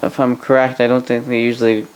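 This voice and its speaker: A man's voice: mid-range voice, monotone. Hesitant young Canadian man, faint background noise. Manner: hesitant, halting, rambling, often repeating words. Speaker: vintage radio hobbyist and host. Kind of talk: casual unscripted demonstration. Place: indoor workbench in a room.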